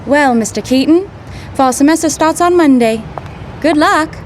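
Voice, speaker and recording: Woman playing a high-voiced, plucky young grad student. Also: normal speed, slight background noise, close to an everyday voice. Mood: playful, intrigued. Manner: breezy, slangy 1940s-style talk. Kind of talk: old-time radio drama acting.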